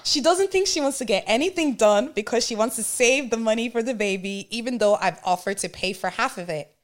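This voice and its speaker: Casual, animated woman podcast host. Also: fairly high pitch, fairly quick. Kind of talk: casual chat, reading stories aloud to friends.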